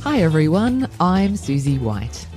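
A woman's voice: low voice, sing-song, a little slow, music in the background. A woman with an Australian accent hosting an interview podcast, presenting with enthusiasm. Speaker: podcast host and coach.

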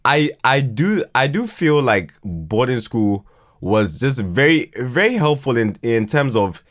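A man's voice: medium pitch, very animated, measured pace, a bit bright. A young man with a Ghanaian accent chatting casually in an interview, often repeating words and restarting as he searches for his answer. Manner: hesitant, stammering repeats and false starts. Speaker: podcast guest, writer and creator.

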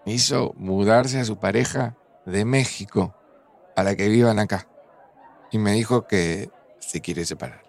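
A man's voice: on the low side, a little slow, creaky. An actor playing an anxious, guilt-ridden man with a Buenos Aires accent, hesitant and agitated in naturalistic audio drama dialogue. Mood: agitated, guilty. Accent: Argentine, Buenos Aires. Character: anxious, guilt-ridden man in love, confiding in his friend.

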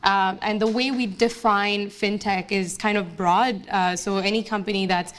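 A woman's voice: mid-range voice, steady tone, normal speed, a little hiss or hum. Chatty young woman from Bangladesh. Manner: chatty, many fillers and restarts. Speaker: guest speaker, investment analyst. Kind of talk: casual onstage interview.

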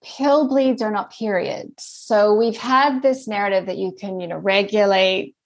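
Middle-aged Canadian woman, podcast guest expert, measured explainer. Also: mid-range voice, fairly even intonation, low-quality recording. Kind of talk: podcast interview.

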